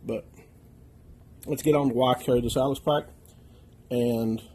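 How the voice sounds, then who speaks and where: A middle-aged American man with a nasal, slightly husky voice, still getting over a chest infection. He talks through his gear in a plainspoken, folksy way, with a dark, mellow tone picked up by a camera mic in a living room. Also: mid-range voice, fairly even intonation, normal speed. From United States. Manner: plainspoken, folksy, keeps checking in with "okay?". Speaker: outdoors channel host. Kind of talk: casual gear walkthrough.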